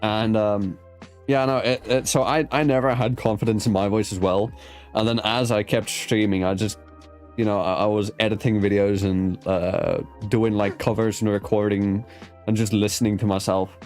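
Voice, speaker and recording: A man from South Africa with a South African accent, whose first language is Afrikaans, hosting a casual interview and chatting in a rambling way with many restarts. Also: on the low side. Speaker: host. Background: soft background music.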